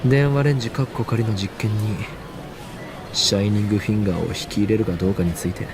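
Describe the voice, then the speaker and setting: A man voices a grandiose young male mad scientist from an anime game. His low voice is theatrical, pompous and paranoid, with clear music behind it. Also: steady tone, rapid-fire, lightly acted. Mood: conspiratorial, brooding. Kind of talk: Japanese anime game voice acting.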